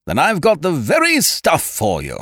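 Guy doing a loud hearty smooth talker from a funny audiobook, gushing and emphatic, pitch jumps all over. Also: wildly swooping pitch, moderate pace, no room sound, clean studio sound. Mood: booming, salesmanlike. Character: booming, breezy male chancer, effusive and smooth-talking. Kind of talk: humorous audiobook character dialogue.

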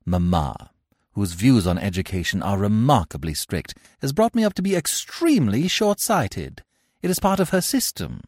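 A man's voice: fairly low pitch, big pitch swings, moderate pace, a little mellow, no echo, clean studio sound, voice pushed up. A man voicing Gwendolen, a haughty young society woman who talks in a stiff, formal, condescending way. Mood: coolly cutting. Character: haughty young society lady, polite but cutting.

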